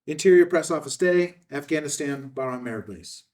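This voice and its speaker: A man's voice: on the high side, normal speed, darkish tone, distant mic. A man reading out stage directions as scripted narration at a film table read.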